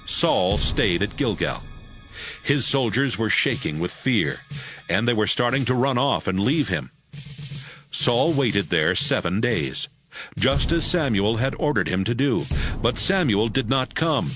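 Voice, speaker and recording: A man narrating scripture from a script in a measured storytelling manner. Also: medium pitch, expressive, over music.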